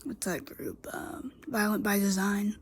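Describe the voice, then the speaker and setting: A woman's voice: medium pitch, lively intonation, measured pace, slight background noise. A woman vlogger with a congested voice, recapping a wrestling show in a chatty, rambling way with many fillers and restarts. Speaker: YouTube wrestling reviewer. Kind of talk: casual solo show recap.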